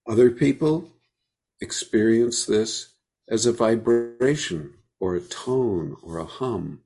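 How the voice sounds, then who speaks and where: Slow, gravelly man guiding a meditation. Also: mid-range voice. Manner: gentle, unhurried pauses between phrases. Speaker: meditation guide. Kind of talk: guided meditation.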